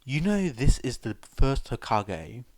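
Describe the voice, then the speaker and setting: A man's voice: mid-range voice, sing-song, slow, a little mellow, no echo, full character voice. A man voicing an incredulous, knowledgeable teenage boy in a story, lecturing with exasperation. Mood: disbelief.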